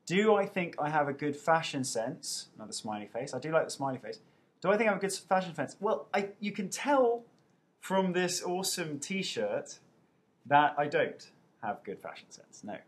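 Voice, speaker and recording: A man's voice: fairly high pitch, very animated, normal speed, slightly rough. A man in his thirties, an actor, chatting casually on a webcam as he reads out viewer questions and answers them with playful self-mockery. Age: 30s. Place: indoors at home. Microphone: webcam mic.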